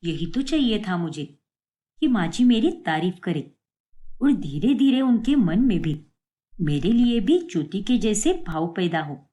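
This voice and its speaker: A woman's voice: medium pitch, big pitch swings, unhurried, warm tone. A woman voicing a jealous daughter-in-law in a moral-story cartoon. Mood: scheming. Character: jealous, scheming daughter-in-law, later repentant.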